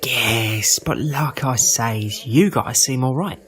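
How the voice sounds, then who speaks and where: An actor playing a prejudiced, chatty market-stall trader in an audio drama, rambling in a folksy, defensive way. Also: male voice, medium pitch, slow pace, a bit bright. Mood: self-righteous. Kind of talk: full-cast audio drama acting.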